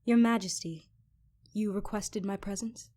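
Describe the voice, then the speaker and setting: A woman's voice: mid-range voice, steady tone, unhurried, heavy background noise, lightly acted. A woman playing a loyal, guarded royal knight in a fantasy audio drama, speaking formally and with composure. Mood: anxious. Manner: formal, composed, coolly defiant. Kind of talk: fantasy audio roleplay acting.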